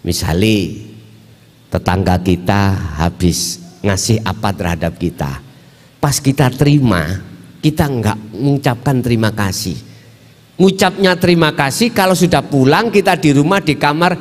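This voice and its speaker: A man's voice: medium pitch, moderate pace. A man with a Javanese accent preaching an Islamic lecture in a didactic way, putting rhetorical questions to his listeners. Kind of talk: religious lecture mixing teaching and colloquial asides. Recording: hall with long reverb.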